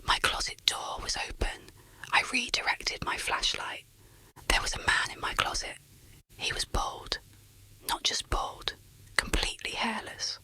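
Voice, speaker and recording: Someone narrating an ASMR horror story in a whisper, with deliberate, dramatic delivery. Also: bright, forceful tone.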